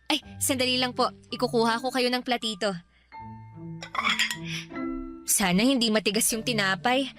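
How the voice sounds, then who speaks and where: A woman's voice: fairly high pitch, steady tone, fairly quick, lightly acted. A Filipino-accented woman playing a playful, devoted grown daughter in a radio drama, respectful to her elder. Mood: eager, cheerful. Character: cheerful, devoted adult daughter who owns a bakery. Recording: music under the dialogue.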